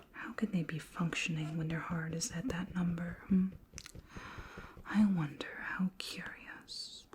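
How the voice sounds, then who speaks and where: A woman voicing a curious, gently commanding alien queen in a soft, measured, formal whisper for an ASMR roleplay. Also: deep voice, slight background noise. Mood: curious, musing.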